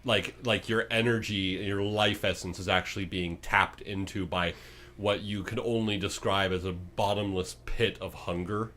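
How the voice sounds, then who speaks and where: Man narrating a tabletop game in halting phrases. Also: low-pitched, fairly even intonation, pushed and bright, slight background noise. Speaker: game master.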